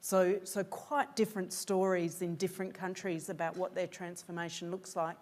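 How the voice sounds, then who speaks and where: A woman's voice: on the low side, little pitch movement, normal speed, a little mellow. Hesitant woman answering judges. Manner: hesitant, many fillers and restarts. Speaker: pitching founder. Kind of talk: pitch Q&A answers.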